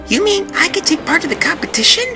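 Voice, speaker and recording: Guy doing a little talking boat, youthful n earnest, super animated, music behind. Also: very high voice, exaggerated voice. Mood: anxious, hopeful. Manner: earnest, excitable. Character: small eager talking sailboat, youthful and earnest. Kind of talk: amateur audio drama acting.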